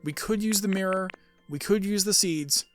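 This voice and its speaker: A man's voice: high-pitched, normal speed, soft background music. A man giving casual let's-play commentary as host, rambling and thinking aloud. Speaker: gaming video host.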